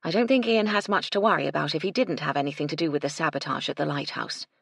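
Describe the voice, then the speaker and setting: A woman's voice: low voice, fast, vocal fry, fairly bright tone, slightly rough, no echo, studio quality, heavily acted. A woman voicing a composed, kindly but firm English lady in charge in a story. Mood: firm, reassuring.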